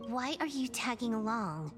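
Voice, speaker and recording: A woman's voice: fairly high pitch, lively intonation, moderate pace, close to an everyday voice. An actress playing a blunt, guarded young female hacker in a video game, with a challenging manner. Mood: challenging, upset. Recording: music behind the voice.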